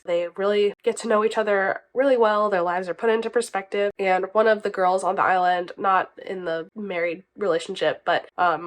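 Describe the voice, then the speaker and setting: Young woman w a stuffy cold voice, chatty book vlog, lots of likes and ums. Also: medium pitch. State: stuffy, a little sick. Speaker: book vlogger. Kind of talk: casual vlog chat.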